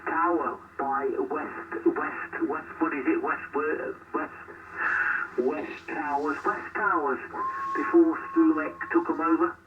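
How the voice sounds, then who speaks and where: A man's voice: on the high side, takes it slowly. A man coming through a shortwave radio transmission, an amateur operator chatting casually in a rambling, enthusiastic way. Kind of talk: casual chat over ham radio. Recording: noisy narrowband shortwave radio, distant.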